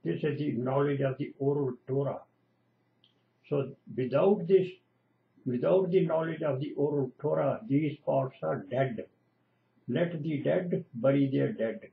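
Old punjabi-speaking guy teaching bible stuff real slow, gravelly, dull webcam sound. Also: mid-range voice. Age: older. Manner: slow, earnest, lecturing, repeats lists of divine names. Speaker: retired lecturer giving Bible exposition. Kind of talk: home-video scripture teaching. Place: small room indoors at home.

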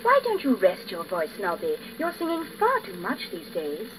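A performer voicing a gently reproachful, nagging female nightingale, a wife in a children's story record. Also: medium pitch, wildly swooping pitch, normal speed. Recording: noisy old 78rpm gramophone record.

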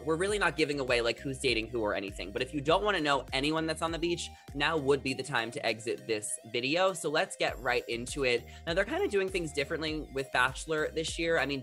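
A man's voice: medium pitch, bright, forceful tone. A young man in his twenties chatting to camera as a gossip vlogger, upbeat and full of "like" and "um", on a desk mic. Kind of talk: casual chat to camera.

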